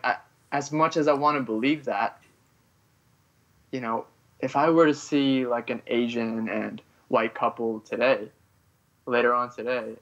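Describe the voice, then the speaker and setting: A man from Vietnam speaks as a podcast guest, pausing often and filling the gaps with "you know" as he works through his thoughts. His voice sits at an ordinary pitch for a man. The audio is dull and lo-fi. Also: a bit bright. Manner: halting, heavy on "you know" fillers. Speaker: guest writer. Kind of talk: podcast interview.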